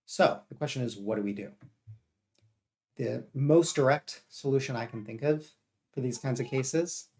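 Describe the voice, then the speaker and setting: A man with a cold and a gravelly voice, thinking aloud as he codes live and explains each step. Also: medium pitch, moderate pace, slight background noise. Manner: steady explaining with frequent uh and self-corrections. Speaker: live coding streamer.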